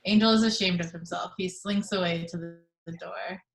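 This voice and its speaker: A woman reading stage directions aloud in a table read, steady and matter-of-fact. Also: fairly low pitch, normal speed, gravelly. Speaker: reader of the action description. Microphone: video call microphone at home.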